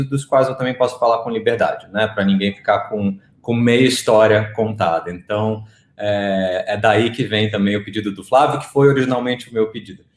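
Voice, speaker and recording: A man's voice: mid-range voice, roomy sound. Chatty man with a faint Rio accent. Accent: faint Rio de Janeiro Portuguese. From Rio de Janeiro, Brazil. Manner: relaxed, chatty, frequent "né" fillers. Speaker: guest, marketing executive. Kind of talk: conversational interview answers.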